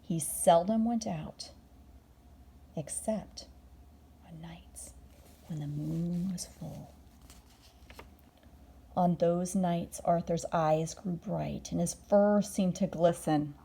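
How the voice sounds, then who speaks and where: A woman reading a children's bedtime story aloud expressively, drawing out words and dropping into hushed moments. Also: on the low side, slow, vocal fry, pauses often, husky. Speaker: storybook reader.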